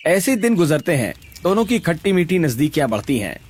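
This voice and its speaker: A man's voice: a little high, sing-song, fairly quick, a little mellow, breathy edge. A man narrating a scripted story in a dramatic storytelling style.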